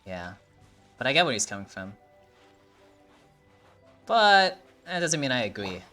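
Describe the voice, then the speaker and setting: A man's voice: medium pitch, very animated, a little slow, sharp tone, soft background music. Chatty man commenting on his game. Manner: rambling, chatty, swears casually. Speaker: game streamer. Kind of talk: casual gameplay commentary.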